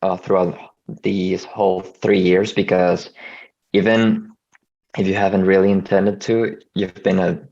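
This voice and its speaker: A man's voice: sits low, gravelly, darkish tone, lo-fi sound. A man from Venezuela, a video editor talking over a call in a hesitant way, restarting his words often. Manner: hesitant, frequent restarts and stammers. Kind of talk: casual video call conversation.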